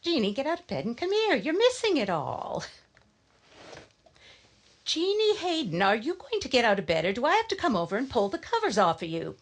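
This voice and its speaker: A woman's voice: fairly high pitch, huge pitch swings, a little fast, exaggerated voice. A woman playing a cheerful middle-aged mother in radio drama, fondly coaxing and chiding. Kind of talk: live radio horror drama acting.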